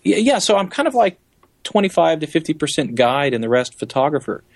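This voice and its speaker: A man's voice: fairly high pitch, sing-song, brisk, no echo, clean studio sound. A man giving enthusiastic, explanatory answers as a guest safari guide in a conversational interview.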